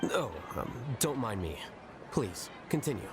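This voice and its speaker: An actor playing a composed, analytical young scholar in a video game, speaking in a measured, precise, matter-of-fact way. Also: male voice, lowish voice, big pitch swings, background noise. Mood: reserved.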